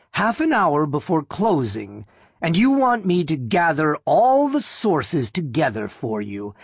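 A woman's voice: sits low, breathy edge, no echo, clean studio sound, pitched above the performer's own voice, heavily acted. A woman reader voicing a stern, irritable middle-aged chief librarian in a harsh, mocking, imperious manner for a story.

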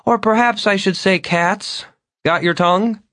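A man's voice: sits high, a little slow, a bit bright, no echo, clean studio sound, voice pushed up. A man voicing a drunk, disheveled middle-aged man who rambles, slurs and swings between overly polite and maudlin. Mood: tipsy, needy. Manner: slurred, wandering, overly polite then maudlin.

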